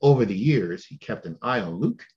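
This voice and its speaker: A middle-aged man hosting and reading a children's story aloud in an expressive, deliberate way. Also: on the low side. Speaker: host and storybook reader. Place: room at home, indoors. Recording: dull lo-fi sound, music behind.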